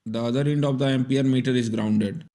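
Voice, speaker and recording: A man's voice: mid-range voice, steady tone, fairly quick, dark tone. A man narrating a scripted technical tutorial in a methodical, step-by-step instructional manner. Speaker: repair tutorial presenter.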